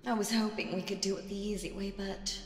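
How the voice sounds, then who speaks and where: A woman voicing a seductive, domineering demon queen in a dramatic audio roleplay, speaking theatrically and deliberately with teasing menace. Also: mid-range voice, little pitch movement, noisy background, lightly acted. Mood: mock disappointment.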